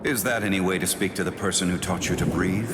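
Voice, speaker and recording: An actor playing a cold, stern, haughty sword spirit in an anime game, with an imperious, unyielding manner. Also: male voice, sits low, steady tone, fast, lightly acted. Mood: cool, aloof. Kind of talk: English anime game voice acting. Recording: cavernous reverb with noisy ambience.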